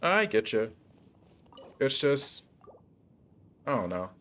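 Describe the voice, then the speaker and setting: A man's voice: medium pitch, sing-song, slow, a bit bright. A young man talking casually to his viewers while livestreaming a video game. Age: young adult.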